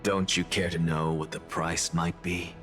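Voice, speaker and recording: Slow, theatrical, arrogant scholar. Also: male voice, deep voice, flat delivery, fairly bright tone, faint music behind. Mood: cool, bargaining. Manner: deliberate, grandiose, lecturing. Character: arrogant, theatrical male scholar professor, coolly obsessed with truth. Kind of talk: dramatic video game voice acting.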